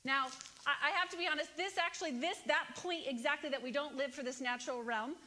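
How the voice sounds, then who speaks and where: A woman in her thirties giving a keynote sermon on a large church stage, talking to the congregation in an animated, conversational way and asking them questions. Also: sits high, quick pace. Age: 30s. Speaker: guest speaker.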